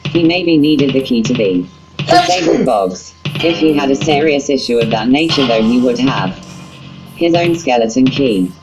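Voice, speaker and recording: Female story narrator, very deep, literal text-to-speech delivery, guitar backing. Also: big pitch swings, moderate pace, poor audio. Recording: music under the voice. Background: guitar music.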